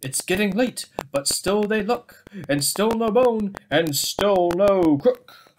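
A young man reads a children's picture book aloud. His pitch swings widely and he takes his time with each phrase. The recording, made in a small room, has background noise. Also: animated, slow pace. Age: young adult. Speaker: storybook reader. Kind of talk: children's story read-aloud. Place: small indoor room with bookshelves. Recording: clearly noisy home webcam recording.

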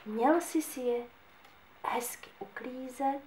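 A woman voicing a gentle, kind female angel who speaks softly and soothingly. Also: sits high, slight background noise, natural voice. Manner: soft, hushed and soothing. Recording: echoey room.

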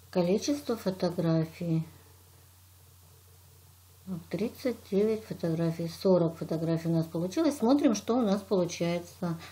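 Mellow-voiced woman guiding a drawing lesson. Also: lowish voice. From Russia. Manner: patient, praising, narrating each step. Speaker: teacher. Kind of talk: step-by-step craft lesson.

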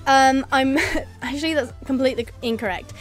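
A woman's voice: sits high, moderate pace, soft background music. A young English woman, a YouTuber, chatting casually to camera as she answers viewer comments, with frequent ums and laughs. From England. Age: young adult. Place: indoors in front of a green screen. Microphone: facing camera at close range.

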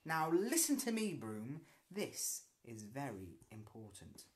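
A man's voice: mid-range voice, huge pitch swings, takes it slowly. A man voicing a spoiled, sly schoolgirl witch with a whiny, scheming way of talking in a storybook reading.

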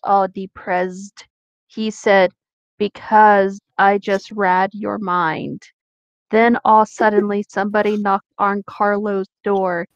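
A woman's voice: mid-range voice, smooth, dry recording. A woman reading fan fiction aloud with casual asides, on a slightly dull recording. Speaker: reader and commentator.

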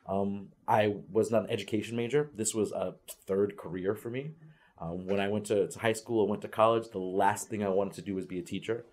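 A man's voice: on the low side, fairly even intonation, fairly quick, a little mellow. A middle-aged man who coaches ethnic studies teachers, speaking earnestly at a panel table in a lecture room, with frequent restarts and fillers. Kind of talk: panel talk to students. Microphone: far camera.

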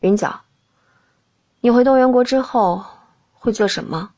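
A woman's voice: fairly high pitch, a little slow, warm tone. A woman voicing a young crown prince who is cool, haughty and languid, in an audiobook reading. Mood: languid, aloof. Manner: aloof, languid, terse. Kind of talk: audiobook character acting.

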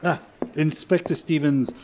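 An actor playing a courteous, self-assured, fussy Belgian detective with a French-speaking Belgian accent and precise delivery, in 1940s radio drama style. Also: male voice, a little high, steady tone, unhurried, darkish tone, natural voice. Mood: self-satisfied, explaining. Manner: precise, theatrical, with rhetorical tags. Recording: 1940s radio broadcast with music.

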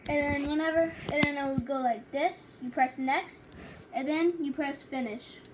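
Female tutorial host, high voice, casual and halting step-by-step delivery, noticeably noisy handheld recording. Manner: halting, repeats and corrects herself. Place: indoors at a home computer. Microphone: handheld phone or camera, filming screen.

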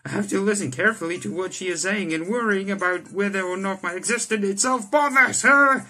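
A man's voice: high-pitched, brisk, bright, forceful tone. A young man reading visual novel text aloud with a dramatic delivery, stumbling over words now and then. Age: young adult. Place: indoor room, on webcam. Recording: game music behind the voice. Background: visual novel game music.

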